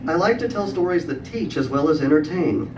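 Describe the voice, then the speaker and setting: A middle-aged man tells a humorous story live, in a prepared style with wry, dry wit and comic pauses. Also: a little high, animated. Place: live event room with audience. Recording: echoey room, distant mic, clear background noise.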